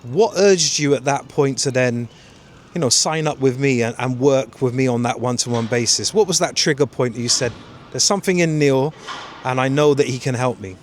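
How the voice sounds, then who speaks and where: Mid-pitched man interviewing. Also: normal speed, slight background noise. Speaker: coach and interviewer. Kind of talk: conversational testimonial interview.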